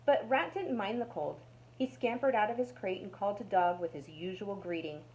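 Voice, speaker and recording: Woman, storytime reader, mid-range pitch, children's storybook narration. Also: normal speed, a little mellow, dull audio.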